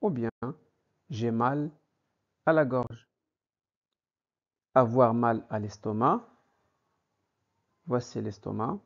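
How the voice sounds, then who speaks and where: Male teacher, unhurried and methodical, repeats phrases for learners, slightly muffled recording. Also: medium pitch, creaky. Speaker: French teacher. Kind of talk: slow vocabulary lesson. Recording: dull lo-fi recording.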